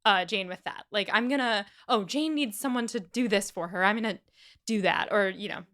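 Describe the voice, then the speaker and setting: A woman co-hosting a podcast, chatting casually and retelling a story with animated asides. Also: mid-range voice, a little fast. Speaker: podcast co-host. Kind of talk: casual book discussion.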